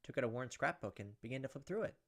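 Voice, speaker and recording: A man's voice: medium pitch, lively intonation, quick pace, a bit bright. A man hosting a gaming video, reading a visual novel aloud as dramatic storytelling and breaking off for casual, off-the-cuff asides.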